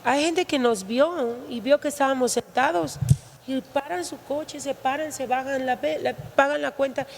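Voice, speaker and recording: Mexican woman pastor, chatty, higher voice. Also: normal speed, creaky, slight background noise. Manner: conversational, checking in with tag questions. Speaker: guest pastor. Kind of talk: casual church podcast chat.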